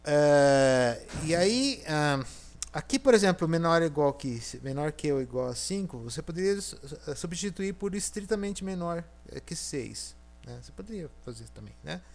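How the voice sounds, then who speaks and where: A man with a Brazilian Portuguese accent explains a lesson in a loose, conversational way. He thinks aloud, often pausing to check that students are following. His voice sits a little higher than most men's. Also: lively intonation. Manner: thinking aloud, frequent "né?" and fillers. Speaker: university lecturer. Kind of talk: recorded programming lecture.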